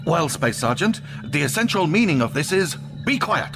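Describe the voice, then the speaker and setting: Animated, fussy space commander. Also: male voice, a little high, normal speed, gravelly, loud music behind the voice. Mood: airy, serene. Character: fussy, eccentric, irritable space commander doctor. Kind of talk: sci-fi audio drama acting.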